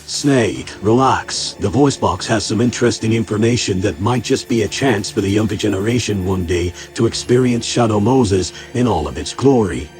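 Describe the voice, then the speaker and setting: A man playing a calm, measured military support officer briefing a spy in a scripted video game skit. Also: lowish voice, faint music behind, natural voice. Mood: calm, informative.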